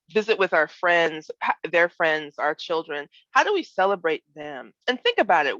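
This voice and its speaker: A woman guest preacher giving an expressive, reflective sermon that mixes personal storytelling with emphasized phrases and questions to her listeners. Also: mid-range voice, dry recording. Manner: expressive, acts out imagined speech and emphasizes key words. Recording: dull, lo-fi online worship audio.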